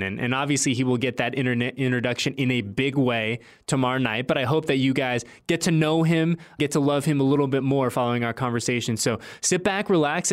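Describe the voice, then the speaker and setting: Enthusiastic American man hosting sports talk. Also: mid-range voice. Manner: enthusiastic, chatty. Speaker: team show host and interviewer. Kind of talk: upbeat sports podcast talk.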